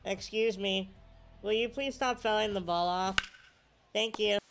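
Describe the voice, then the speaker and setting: A young man on a headset talks his way through a baseball game, bursting into shouts at every play. His voice is a little stuffed up, and game music plays clearly underneath. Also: high-pitched, measured pace. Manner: excitable, yelling at the game, slangy "bro". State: congested, slight cough. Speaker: streamer. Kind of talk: casual gameplay commentary. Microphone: headset mic.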